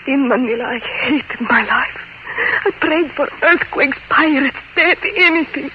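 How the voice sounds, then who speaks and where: A tinny old radio recording with faint noise carries a passionate wife. Her high voice is theatrical and emotional, imploring and desperate with love. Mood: tender, resigned. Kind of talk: old-time radio melodrama acting.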